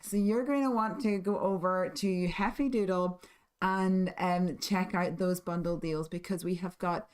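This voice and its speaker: A woman hosting a live crafting stream, husky and hoarse from losing her voice, chatting in a friendly way with many ums and small laughs. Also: lowish voice, steady tone, unhurried, a little mellow. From United Kingdom. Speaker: craft stream host and shop owner.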